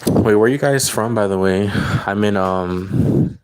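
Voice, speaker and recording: A man's voice: fairly low pitch, unhurried, background noise. A man from California, a psychic reader, chatting loosely with viewers on a livestream and reacting to their comments as he reads them. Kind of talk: casual livestream chat answering viewer comments.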